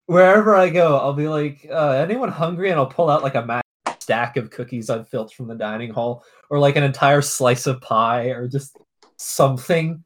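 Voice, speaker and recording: A woman's voice: rumbling low, moderate pace. A young adult woman, a dungeon master, chatting casually on a podcast, rambling, swearing and laughing often.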